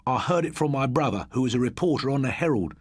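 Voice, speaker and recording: A man voicing an excitable, boastful schoolboy who tells ghost tales in a dramatic, insistent manner for an audiobook. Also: mid-range voice, fast talker, slightly rough, no echo, clean studio sound, pitched above the performer's own voice. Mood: indignant.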